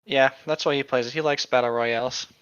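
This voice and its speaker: A bright, forward voice of middling pitch, in casual chat and read-aloud among friends. The microphone is dull and a bit muffled, with a dry sound. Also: fairly even intonation, brisk, clear, resonant tone, dry recording. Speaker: co-host of a comedic group read-along.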